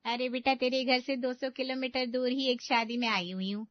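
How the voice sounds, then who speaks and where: Woman as fussy middle-aged auntie, high voice, scolding, affectionate, story drama, dry recording. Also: fairly even intonation, normal speed, no room sound, dull audio, lightly acted. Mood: cheerful. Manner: scolding with exclamations and motherly concern. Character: fussing, outspoken middle-aged aunt, affectionate and indignant.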